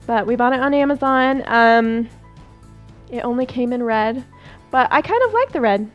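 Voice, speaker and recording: Higher-pitched woman chatting casually. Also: expressive, normal speed, lo-fi sound, soft background music. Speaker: homesteading host. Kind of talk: casual vlog chat. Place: home kitchen.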